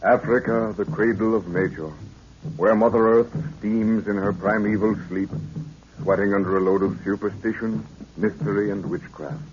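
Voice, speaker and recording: A man's voice: lowish voice, steady tone, normal speed, a little mellow, a little hiss or hum. A male announcer with a solemn, portentous manner, narrating a 1930s radio serial in dramatic style. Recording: muffled 1930s radio recording.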